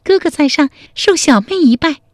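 A woman putting on a high, soft young maiden. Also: animated, moderate pace, dry recording, studio quality. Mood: playful sly. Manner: pleading, soft and lilting. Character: young woman, timid yet quick-witted and grateful. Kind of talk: storytelling radio serial.

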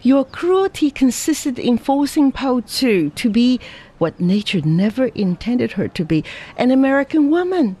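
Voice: medium pitch, big pitch swings, measured pace, breathy edge, voice pushed up. A reader voicing a scolding, indignant young American woman. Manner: sharp, scolding.

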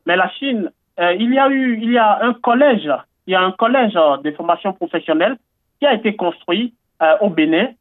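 A man's voice: high voice, animated, fairly quick, dry recording. A man from Benin interviewed on the radio, talking earnestly and eagerly, with many hesitations and repeated words. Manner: earnest, enthusiastic, with frequent fillers and repetitions. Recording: tinny phone-like line, dry.

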